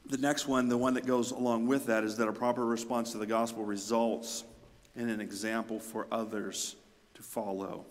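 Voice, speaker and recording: A man's voice: mid-range voice, level tone, normal speed. Male pastor, mid-range voice, emphatic sermon delivery with repetition and swells of force, long hall reverb. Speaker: pastor preaching.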